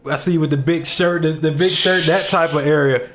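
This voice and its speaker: A man's voice: on the high side, steady tone, fairly quick, a bit bright. A thirty-year-old man from Ohio hosting a casual podcast chat, laid-back and slangy, talking into a desk mic in a small studio. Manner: laid-back, slangy, hypes up his guest. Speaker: podcast host. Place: small studio with backdrop, indoors. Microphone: desk mic on boom arm.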